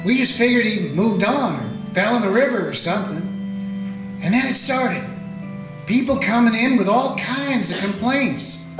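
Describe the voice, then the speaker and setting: An actor playing a steady small-town lawman who narrates and speaks in plain, folksy talk in a radio play. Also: sits high, measured pace. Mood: calm. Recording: roomy echo with music.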